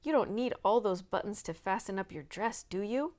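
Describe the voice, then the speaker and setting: A woman voicing a boisterous, excitable young farm boy in a storybook, loud and chirpy. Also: medium pitch, normal speed, lightly acted. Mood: excited.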